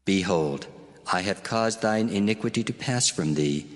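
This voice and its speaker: A man's voice: lowish voice, animated, normal speed, natural voice. Man playing a stern, majestic, reverberant heavenly voice. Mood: commanding. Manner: commanding, declamatory. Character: stern, majestic male heavenly voice giving commands. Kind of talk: dramatized scripture reading. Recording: long hall reverb, faint music. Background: faint music.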